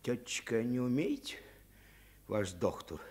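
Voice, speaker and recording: A man's voice: medium pitch, wildly swooping pitch, takes it slowly, darkish tone. A man playing a simple, chatty floor polisher in a radio play, speaking in colloquial dialect. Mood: folksy, commiserating. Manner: colloquial, dialect-flavoured speech.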